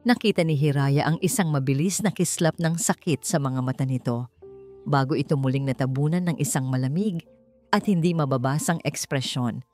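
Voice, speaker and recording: A woman with a Filipino accent narrating a scripted romance story in slow, deliberate, dramatic phrasing. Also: deep voice, a little mellow, soft background music.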